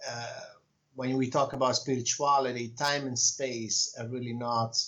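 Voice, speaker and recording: A man with an Italian accent, speaking as an author being interviewed on a podcast, talking in a reflective, measured way with frequent pauses. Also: mid-range voice, little pitch movement, sharp tone. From Italy. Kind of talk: conversational interview.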